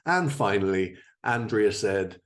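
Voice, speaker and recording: Low-voiced male podcast host. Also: lively intonation, slow pace. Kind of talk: podcast hosting, scripted updates and interview.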